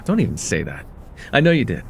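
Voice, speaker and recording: A man acts out a young male student with a crush, affectionate and teasing in a slice-of-life ASMR roleplay. His delivery swings expressively in pitch and has a creaky edge, with casual, hesitant phrasing over faint background noise. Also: wildly swooping pitch, fast, exaggerated voice. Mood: teasing, welcoming. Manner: casual, soft-hearted, hesitant, chuckling banter. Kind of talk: slice-of-life ASMR roleplay, intimate one-sided dialogue.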